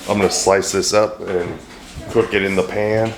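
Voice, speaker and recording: Brief: middle-aged man, very low and a bit hoarse, rambling dry humor, echoey home kitchen with faint hiss. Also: steady tone, normal speed. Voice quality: deep, a little hoarse from a throat bug. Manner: joking, rambling, dry self-deprecating humor. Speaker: vlogger, home cook. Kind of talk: casual cooking vlog chat.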